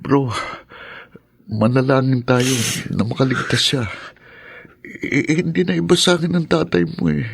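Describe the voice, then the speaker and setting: An actor playing a sympathetic, anxious loyal friend in a Tagalog radio drama, with a Filipino accent. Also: male voice, medium pitch, expressive, a little slow, gravelly, pauses often, breathy edge, dry recording, exaggerated voice.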